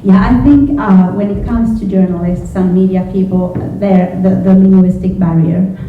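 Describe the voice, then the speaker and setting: A woman's voice: medium pitch, fairly even intonation. Middle-aged woman, Finnish accent, native Finnish speaker, hesitant panel answers; thin phone-like sound with faint noise. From Finland. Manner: hesitant, halting, often repeating words. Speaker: panelist, journalism educator. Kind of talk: panel discussion, thoughtful spontaneous answers. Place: echoey indoor event room with a panel. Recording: echoey room from far off, phone-like, faint noise.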